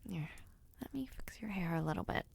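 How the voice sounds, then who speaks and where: A woman's voice: fairly low pitch, animated, fairly quick, vocal fry, slightly rough, exaggerated voice. A young woman voicing a spirited, playful noblewoman knight in an audio roleplay, chatty and teasing. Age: young adult.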